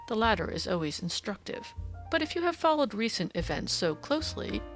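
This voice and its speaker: A woman voicing a keen, assured Victorian gentleman detective in an audiobook, formal and precise in speech. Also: mid-range voice, sing-song, a little fast, soft background music. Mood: brisk, keen. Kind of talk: audiobook character dialogue.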